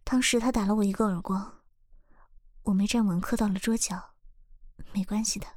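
A woman playing a gentle, forgiving young wife in a Chinese period radio drama, soft-spoken and subdued. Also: medium pitch, steady tone, moderate pace, no echo, clean studio sound, lightly acted.

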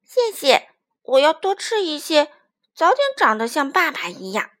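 A woman's voice: high-pitched, big pitch swings, normal speed, fairly bright tone, voice pushed up. A woman voicing an innocent, adoring baby ankylosaurus boy in a storybook, childlike, exclaiming and pleading. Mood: cheerful, eager.

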